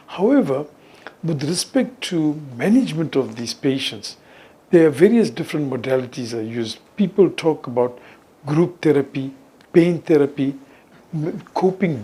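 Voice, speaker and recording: Older male professor, husky tone, slightly high pitch, deliberate unscripted speaking. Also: warm tone. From South Africa. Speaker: professor and rheumatologist speaking on a panel. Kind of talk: unscripted talk to students.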